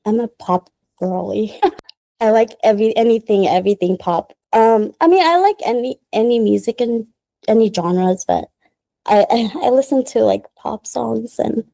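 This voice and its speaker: Chatty woman with a mellow, dry voice. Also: a little high, moderate pace, gravelly, no echo. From Philippines. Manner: chatty and rambling, many "like"s, laughs often. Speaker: guest, small business founder. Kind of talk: casual interview answers.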